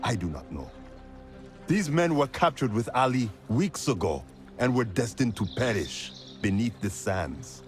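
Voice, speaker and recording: An actor playing a gruff, commanding, mocking rebel fighter in a video game cutscene. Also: male voice, medium pitch, big pitch swings, measured pace, soft background music. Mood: grim.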